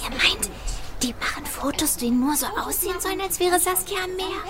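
Teen girl sleuth, eager questions, high and swoopy, radio drama with ambience behind. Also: lively intonation, fairly quick, background noise. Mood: curious.

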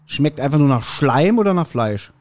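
Chatty forty-year-old man with a higher voice. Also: expressive, normal speed, darkish tone. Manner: informal, chatty, rambling asides. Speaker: podcast host. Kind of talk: casual chat, joking.

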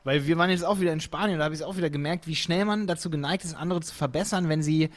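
A young man chatting casually as a podcast co-host, lively and playfully acting out quotes in other voices. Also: fairly high pitch, fast talker. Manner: animated, acts out quotes with funny voices. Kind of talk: casual chat.

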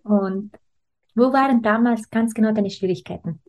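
A woman's voice: a little high, normal speed, a little mellow. A woman in her thirties speaking as a podcast host, introducing and interviewing. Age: 30s.

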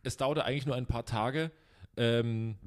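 A man's voice: medium pitch, fairly even intonation, moderate pace. Podcast co-host, young man, loose and teasing, plenty of ums. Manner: rambling, joking, many fillers. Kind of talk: casual chat.

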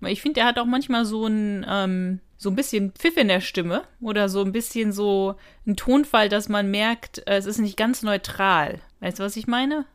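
A woman's voice: mid-range voice, moderate pace, few pauses. A woman chatting casually and agreeably as a podcast co-host, giving recaps and opinions. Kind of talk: casual chat recapping and reviewing an audio drama.

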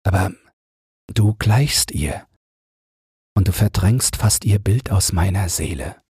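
A man voicing an affectionate young prince in a fairy tale audiobook, speaking in an earnest, tender, unhurried way. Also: sits low, a little mellow, breathy edge, no echo, studio quality, exaggerated voice. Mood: fond, earnest.

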